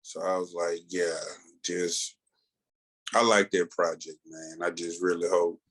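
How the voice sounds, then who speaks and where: A man's voice: low-pitched, level tone, unhurried, fairly bright tone. A man co-hosting a podcast in casual chat, with a laid-back, slangy, colloquial way of talking. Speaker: podcast co-host.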